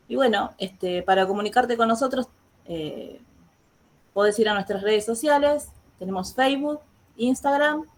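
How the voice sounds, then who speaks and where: An Argentine woman hosting an online educational talk, pausing often on filler words as she introduces guests and asks questions. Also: mid-range voice. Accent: Argentine Spanish. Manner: hesitant, many filler words. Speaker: host. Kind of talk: casual online interview.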